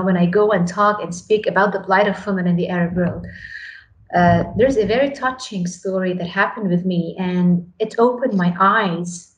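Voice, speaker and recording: A woman from Lebanon, earnestly telling her story in a podcast interview and often repeating words as she starts a sentence. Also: medium pitch. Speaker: radio program director. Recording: echoey room, faint noise.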